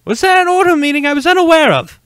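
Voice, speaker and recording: A man's voice: very high voice, very animated, fast talker, a bit bright, no echo, studio quality, pitched above the performer's own voice, heavily acted. A man voicing a stern older female witch professor, anxious and urgent, in a dramatic story reading. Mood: worried, alarmed.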